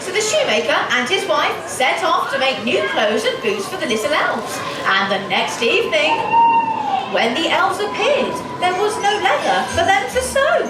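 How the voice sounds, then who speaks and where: A distant, echoey stage recording of a woman telling a pantomime story to children. Her very high voice rises and falls theatrically. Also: wildly swooping pitch, moderate pace, loud music behind the voice. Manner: theatrical, exclaiming. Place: children's covered outdoor stage.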